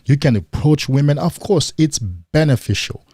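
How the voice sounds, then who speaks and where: A man hosting a motivational livestream, speaking emphatically with rhetorical questions and now and then mimicking excited men in a higher voice. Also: moderate pace, slightly rough, no room sound, studio quality. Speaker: livestream host.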